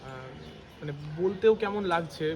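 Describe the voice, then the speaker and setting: A young man acting an awkward, gossiping friend in an amateur short film, speaking haltingly and evasively. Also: a little high, expressive, close to an everyday voice. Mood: hesitant, awkward. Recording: noisy amateur recording with ambient background noise.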